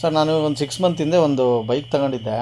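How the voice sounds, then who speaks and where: A man's voice: fairly high pitch, racing, a little mellow. A man making a radio prank call as a confused customer, argumentative and persistently questioning. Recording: clearly noisy radio prank call recording.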